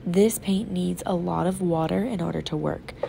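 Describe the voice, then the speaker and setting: A woman's voice: fairly low pitch, moderate pace, gravelly, dark tone, slight background noise. A woman art teacher, sick and stuffed up, patiently talking young kids through a painting demo as she works. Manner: patient, instructive, talks while working. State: sick, congested and sore-throated. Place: classroom table. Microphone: off-camera voice over an overhead tabletop camera.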